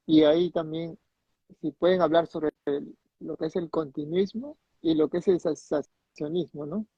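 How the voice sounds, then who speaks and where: Moderator guy, husky voice, stumbles over his questions, thin dry audio. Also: a little high, normal speed, warm tone, no echo, lo-fi sound. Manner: hesitant, stammering questions, brief affirmations. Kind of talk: moderating a panel discussion.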